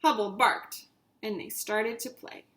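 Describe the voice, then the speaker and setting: A woman's voice: fairly high pitch, fairly even intonation, measured pace, fairly bright tone. A woman teacher reading a story aloud to young children, with simple, instructive phrasing and repetition.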